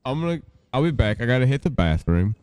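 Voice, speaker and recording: A man's voice: medium pitch, big pitch swings, quick pace, a little mellow, clear, resonant tone, no echo. A man from Australia co-hosting a comedy podcast, rambling through jokes and giggling. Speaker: podcast co-host. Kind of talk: casual comedic banter.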